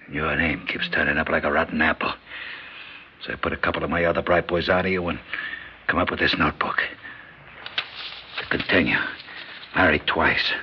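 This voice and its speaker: An actor in a classic radio drama playing a dying old American gangster boss, menacing and blustering, with a gravelly, husky voice and curt, bullying street talk. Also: male voice, a bass voice, heavily acted. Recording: dull lo-fi radio drama recording.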